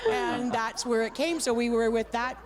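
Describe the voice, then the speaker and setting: A middle-aged woman, a band's singer, chatting as a guest in a TV studio, talking in a lively way with many fillers and restarts. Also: on the high side, normal speed. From Canada. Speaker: band vocalist, guest. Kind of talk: casual chat. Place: TV studio with audience.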